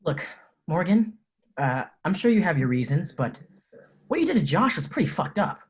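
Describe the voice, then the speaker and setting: Man playing protective teenage best friend, lively. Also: on the high side, normal speed. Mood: confrontational. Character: protective, foul-mouthed high school best friend, confiding narrator. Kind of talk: table read of a film script.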